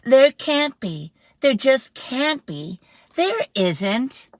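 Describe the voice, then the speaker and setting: A woman doing a brisk, dismissive storybook mom. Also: high voice, big pitch swings, dry recording, clean studio sound, voice pushed up, heavily acted. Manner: calling out, dismissive.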